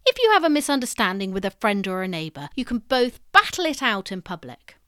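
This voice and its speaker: A woman's voice: mid-range voice, big pitch swings, fairly quick, sharp tone, smooth, no room sound, studio quality. A woman presenting scripted educational narration in a storytelling manner, as if addressing the listener directly. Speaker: presenter of an educational history podcast.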